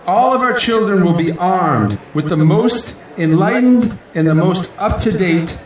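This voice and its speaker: A man's voice: sits high, lively intonation, measured pace. An actor playing a proud, idealistic male politician in an audio drama, giving a grandiose, earnest victory speech in declamatory rally style. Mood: rousing, fervent. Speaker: newly elected city council president. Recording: echoey hall, distant mic, crowd noise. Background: crowd and faint noise.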